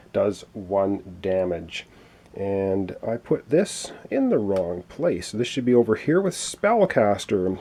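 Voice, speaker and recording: A man's voice: mid-range voice, dark tone. A man explaining a board game playthrough in a chatty, explanatory way, with many fillers, while losing his voice. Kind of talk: casual tabletop game walkthrough.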